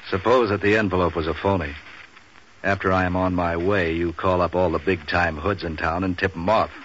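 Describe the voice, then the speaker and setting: A wisecracking noir tough guy, low voice, deadpan n cynical, muffled old radio sound. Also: fairly quick. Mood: cool, needling. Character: wisecracking, hard-boiled male man for hire. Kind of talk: 1940s radio acting, noir narration. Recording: dull, slightly noisy old radio recording.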